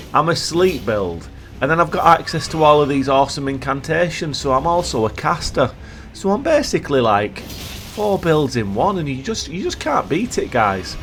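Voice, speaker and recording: A man with a croaky voice from a cold, giving playful, jokey commentary over gameplay as a video host. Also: mid-range voice, a bit bright, slight background noise.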